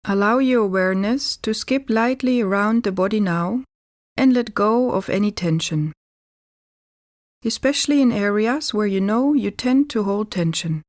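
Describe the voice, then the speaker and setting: A woman guiding a relaxation meditation as a yoga teacher, calm and deliberate, giving gentle instructions with pauses. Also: mid-range voice, no echo, clean studio sound.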